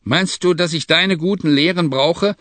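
A man reading a hot-tempered young warrior who speaks defiantly and forcefully. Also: fairly high pitch, normal speed, no room sound, pitched above the performer's own voice, natural voice.

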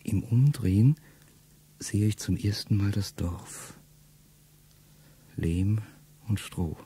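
A middle-aged man speaking a first-person radio play monologue in a low, intimate way that often sinks to a whisper. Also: takes it slowly, warm tone, slightly rough.

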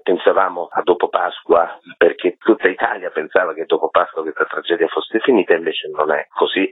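A man being interviewed as an artistic director, speaking husky-voiced over a tinny telephone line. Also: sits low, no room sound. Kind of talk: radio interview by phone. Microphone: phone call.

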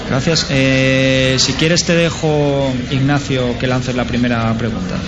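A man's voice: medium pitch, fairly even intonation, unhurried, music in the background. A man hosting a live radio interview in a polite, formal manner. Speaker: radio host. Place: busy live event broadcast. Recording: dull sound, loud crowd noise.

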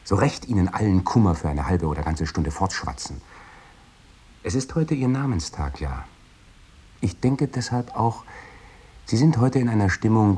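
An actor voicing a devoted, self-doubting letter writer in a radio drama, intimate and hesitant. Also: male voice, deep voice, warm tone, husky. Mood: tender.

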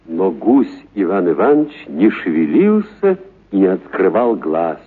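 Expressive male storyteller with a husky voice. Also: low voice, unhurried. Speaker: narrator, actor reading a story. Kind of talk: expressive literary story narration for radio. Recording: narrow, muffled radio-broadcast sound.

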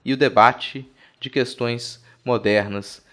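A Brazilian man presents a scripted podcast narration in a medium-pitched voice. He reads in an expository way, stepping out now and then for short explanatory asides. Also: steady tone, slow, fairly bright tone. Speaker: podcast host. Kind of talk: scripted narration of art history.

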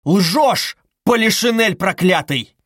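Tormented male suspect for an audiobook, played by a male reader: proud, agitated, vehement, voice pushed up high and dry. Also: lively intonation, slow pace, a bit bright, no room sound, full character voice. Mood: enraged. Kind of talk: dramatized audiobook reading.

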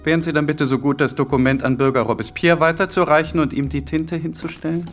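A man's voice: on the high side, steady tone, fast, faint music behind, natural voice. A man playing a cold, exacting young revolutionary official in a radio drama, formal and commanding. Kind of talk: German radio drama acting.

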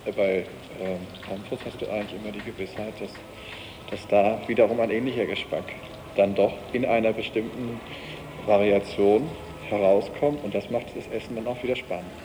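Low-voiced halting man in long reverb. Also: steady tone. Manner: halting, searching for words. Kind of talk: reflective casual opinion in an audio collage. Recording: noisy cassette, long hall reverb.

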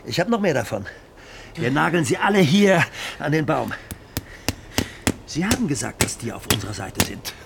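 Wild, gravelly obsessed farmer. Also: male voice, on the high side, sing-song, normal speed, pauses often, background noise. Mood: manic, gleeful. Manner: erratic, impatient, mocking. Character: wild, obsessed farmer hunting his prey, gruff and scornful. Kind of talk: radio drama acting.

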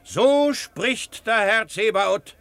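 Stern village pastor, admonishing and solemn, high-pitched and penetrating, for radio drama. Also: male voice, extremely slow, fairly bright tone, clear, resonant tone, dry recording, exaggerated voice. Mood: solemn, preaching. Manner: preaching, admonishing. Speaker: actor playing a pastor. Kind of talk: German radio drama acting.

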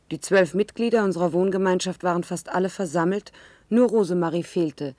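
An actress playing an earnest commune member, recounting events precisely and soberly in a 1970s German radio drama. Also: female voice, medium pitch, darkish tone, no room sound, natural voice. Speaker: radio play narrator.

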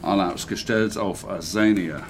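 An actor playing a matter-of-fact investigator in a radio drama, presenting evidence in halting fragments. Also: male voice, low-pitched. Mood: businesslike. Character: male investigator briskly presenting evidence on site. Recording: loud background noise and ambience.

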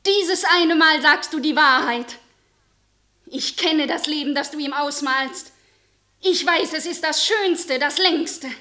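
Radio drama role: smothering, triumphantly loving wife, high-pitched female voice, forceful and insistent. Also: slow pace, full character voice. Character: possessive, smothering young wife, triumphant in love. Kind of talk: theatrical German radio play acting.

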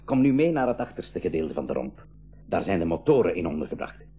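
A man's voice: sits low, big pitch swings, a little fast, soft background music. A man playing an eccentric, kindly inventor uncle in an educational radio drama, with a Flemish accent and a theatrical, teasing way of explaining. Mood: enthusiastic. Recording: dull 1980s radio drama recording.

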